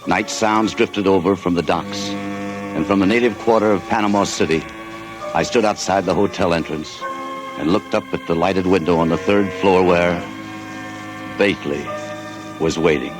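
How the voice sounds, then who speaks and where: A man's voice: low-pitched, fairly even intonation, normal speed, close to an everyday voice, rough recording. An actor playing a restless American salesman who narrates his own story, weary and sardonic. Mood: brooding. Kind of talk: 1940s radio acting. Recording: old radio broadcast with music bed.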